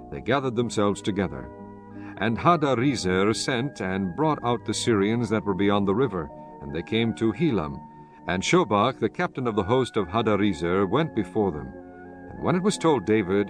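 Male narrator, lowish voice, measured, solemn, scripture reading, music behind.

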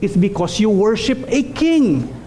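A man in his thirties preaches from a pulpit in a small church hall. His voice sits high and mellow, and he delivers his points earnestly, repeating key phrases for weight. A faint hum of the room sits under the headset mic. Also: sing-song, a little slow. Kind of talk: sermon.

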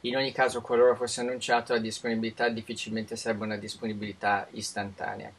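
A man from Italy answering interview questions as a tech expert, explaining in a measured, informative way with frequent restarts. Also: on the low side, flat delivery.

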